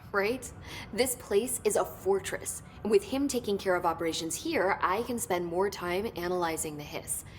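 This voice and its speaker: Actress as earnest brainy woman scientist, precise, keen, faint rumbling noise. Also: normal speed, close to an everyday voice. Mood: keen, excited. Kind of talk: naturalistic video game acting, technical explanation.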